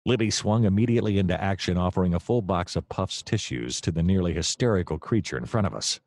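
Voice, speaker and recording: An actor playing a wry, easily distracted private detective, narrating in a long-winded deadpan comic noir style. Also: male voice, deep voice, fast talker, no echo, studio quality.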